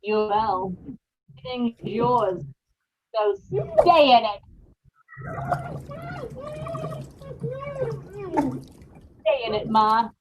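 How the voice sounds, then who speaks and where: Woman acting a haunted murderous wife, high voice. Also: animated, slow pace, highs cut off, background noise. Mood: triumphant, menacing. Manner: dramatic, brooding confessional with repeated phrases. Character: guilt-haunted murderous young wife, unhinged and brooding. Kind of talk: old-time radio horror monologue.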